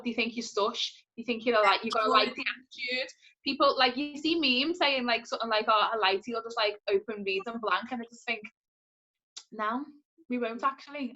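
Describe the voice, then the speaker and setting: A woman's voice: fairly high pitch, bright, forceful tone. Passionate woman on lo-fi audio. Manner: passionate, emphatic, rising to quick bursts. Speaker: podcast co-host. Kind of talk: casual panel chat.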